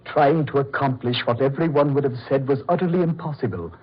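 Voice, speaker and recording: A male actor playing a courteous, sinister mad doctor in old radio horror, silky, condescending and measured. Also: medium pitch, lightly acted. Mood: smugly courteous. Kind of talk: 1940s radio horror acting. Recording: dull, muffled old radio recording.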